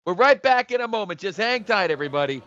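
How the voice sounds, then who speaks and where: Casting: gushing emcee played by a man, extremely high-pitched, forceful, audio-play comedy, dry and slightly muffled. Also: expressive, quick pace. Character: gushing event announcer working the audience.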